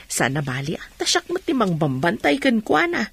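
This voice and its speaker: Husky, forgiving mother. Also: on the high side, huge pitch swings, normal speed, full character voice. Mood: caring, practical. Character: patient, forgiving mother, younger sister of the aunt. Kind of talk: Ilocano radio drama acting.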